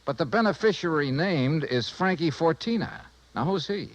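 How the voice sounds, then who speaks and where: An actor playing a wisecracking freelance insurance investigator in a 1950s radio drama, talking in a dry, joking way. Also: male voice, a little high, lively intonation, moderate pace, no room sound, close to an everyday voice, poor audio. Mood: wry. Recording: vintage radio drama with music underneath.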